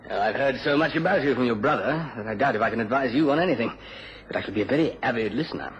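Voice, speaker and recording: An actor playing a dismissive British government official in a radio drama, speaking in clipped phrases. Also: male voice, medium pitch, expressive, very fast, lightly acted. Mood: polite. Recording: dull, noisy radio recording.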